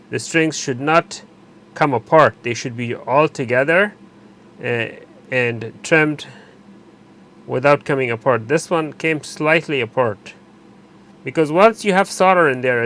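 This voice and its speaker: A man's voice: a little high, bright, forceful tone. Man talking through a wiring job. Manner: unscripted, pauses and self-corrects while working. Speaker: electronics repair instructor. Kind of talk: hands-on tutorial narration.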